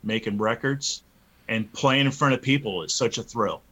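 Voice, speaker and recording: A man in his early fifties with a bright, forceful voice at a middling pitch. He talks informally and earnestly as a musician being interviewed, often hesitating as he finds his phrasing. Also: normal speed. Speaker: interview guest, metal band musician. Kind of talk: casual interview chat.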